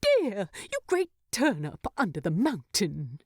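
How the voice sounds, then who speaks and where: A woman voicing a small male hobbit in a storybook, flustered, affectionate and quick to exclaim. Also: mid-range voice, wildly swooping pitch, slightly rough, no echo, studio quality, heavily acted. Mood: mock indignation.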